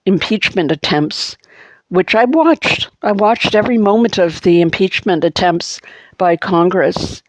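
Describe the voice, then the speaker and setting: Slow-talking Montreal English woman. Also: lowish voice, a little mellow, no echo, poor audio. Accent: Anglophone Montreal. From Montreal, Canada. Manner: halting, many fillers and restarts. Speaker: vlogger. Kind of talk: personal political monologue.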